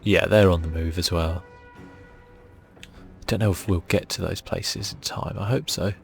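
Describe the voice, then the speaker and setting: A man giving soft-spoken ASMR commentary as he plays a game, thinking aloud in a relaxed way. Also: low-pitched, a little slow, creaky, faint music behind. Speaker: gaming video host.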